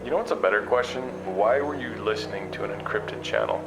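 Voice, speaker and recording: Man playing a low-voiced, skeptical sci-fi investigator. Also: close to an everyday voice, low-quality recording. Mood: skeptical, probing. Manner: halting, understated, conversational. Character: wary, skeptical male investigator broadcasting in secret. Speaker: narrator and lead character. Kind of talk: audio drama acting, confessional broadcast narration and dialogue. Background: prominent background music.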